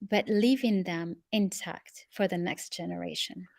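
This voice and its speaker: Measured Moroccan-born woman guest. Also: fairly low pitch, fairly even intonation, vocal fry, a little mellow, no room sound, studio quality. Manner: measured, explanatory. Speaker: guest expert. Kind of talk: interview answers.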